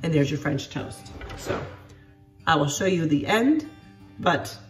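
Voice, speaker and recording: A congested woman in her thirties chats her way through a home-cooked dinner, with lots of fillers and little apologies. Her voice sits a bit low, with soft music playing underneath. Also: lively intonation, measured pace, far from the mic. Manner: chatty, self-deprecating, lots of fillers. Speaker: home cooking vlogger. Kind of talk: casual chatty cooking narration. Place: home kitchen and outdoor patio.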